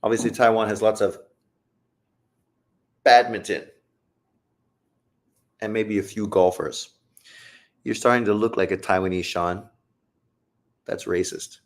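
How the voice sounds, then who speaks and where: A man hosting a live stream who calls a boxing fight as it happens and answers chat questions in a blunt, profane, joking way. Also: sits low. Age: adult, old enough to say he got old. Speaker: livestream host reacting to a boxing fight. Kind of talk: casual live commentary, reading chat.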